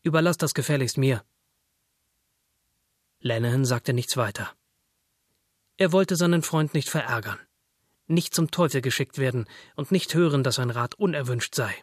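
Mid-pitched man narrating literary fiction. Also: lively intonation, normal speed, no echo, clean studio sound.

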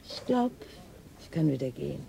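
An actress playing a wry, teasing middle-aged police chief inspector in a radio drama, speaking in a dry, colloquial, mocking way. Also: female voice, low-pitched, very animated, normal speed, creaky, warm tone, heavily acted. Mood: distracted, dry. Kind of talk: naturalistic radio drama dialogue.

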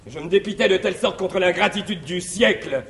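A man's voice: high-pitched, brisk, fairly bright tone. A man playing a wily, boastful valet in a classic French stage farce, theatrical and mock-modest, on an old theatre recording. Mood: boastful, mock-aggrieved. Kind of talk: classical stage comedy acting. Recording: noisy 1961 theatre recording with clicks.

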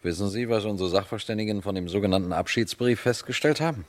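A low male voice of an actor plays a shrewd police detective in a radio crime drama. He speaks deliberately and pointedly, unhurried as he lays out his case and turns directly to his listeners. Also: natural voice. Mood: coolly confronting. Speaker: detective and narrator. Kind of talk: German radio crime drama acting and narration.

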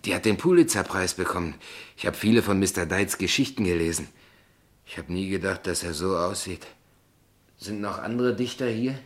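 An actor in a radio play playing a naive, proud small-town poet, earnest and hesitant, stubborn when challenged. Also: male voice, sits low, moderate pace, lightly acted. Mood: naive, admiring.

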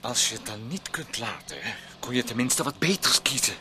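A man's voice: mid-range voice, lively intonation, normal speed, noisy background, close to an everyday voice. A man acting in a Dutch radio play as a domineering, jealous bully with a sneering, taunting manner. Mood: scornful, taunting.

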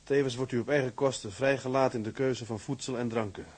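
A man's voice: mid-range voice, steady tone, quick pace, slightly rough, close to an everyday voice. A man playing a blunt, hot-tempered tugboat mate in a naturalistic period radio drama. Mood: dry, bitter.

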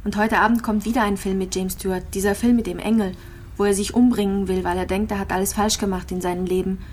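An actress playing a terminally ill patient in a radio play, musing in a plain, halting, intimate way, resigned yet clinging to hope. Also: female voice, medium pitch, little pitch movement, a little mellow, slight background noise, natural voice. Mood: wistful. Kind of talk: radio drama monologue, intimate and naturalistic.

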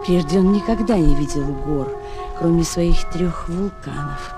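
A man's voice: fairly high pitch, measured pace, lightly acted. Man as storybook narrator with music behind. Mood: calm storytelling. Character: storyteller of a children's tale.

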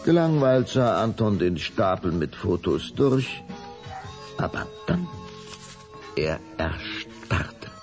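A man's voice: lowish voice, sing-song, takes it slowly, music in the background. A man narrating a children's audio drama in a dramatic, suspenseful storytelling manner.

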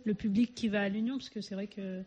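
A woman's voice: mid-range voice, steady tone, fast talker, mellow, slightly rough, highs cut off. A woman hosting an informal radio interview, polite and hesitant, phrasing her questions in a roundabout way.

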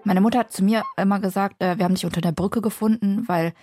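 Woman, radio feature host, calm explainer, mid pitch, dry close sound, faint music underneath. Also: fast talker, no room sound. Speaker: host and narrator. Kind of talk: radio feature narration and conversation.

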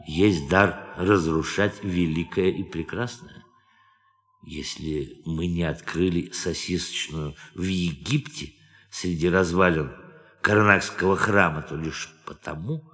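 An actor in a radio drama playing a brooding, bitter astronaut with a poetic soul, speaking in a measured, declamatory way. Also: male voice, low voice, fairly bright tone, full character voice. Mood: accusing. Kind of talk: theatrical radio drama acting. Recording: studio radio play with music behind.

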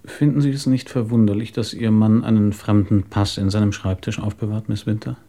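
An actor in a German radio drama playing a calm, sceptical police inspector who questions in a measured, dry, insistent way. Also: male voice, lowish voice.

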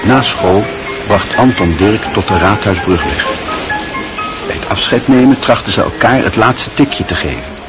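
A man narrating a radio play in a measured storytelling manner. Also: fairly low pitch, expressive, over music.

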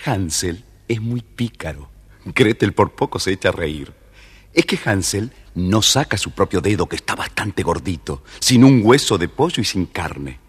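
A man narrates a children's tale in a theatrical, confiding style. His voice is low and husky, with lively swings of pitch. A long reverb trails behind it. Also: a little slow. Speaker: storyteller narrator. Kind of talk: dramatic scripted children's narration.

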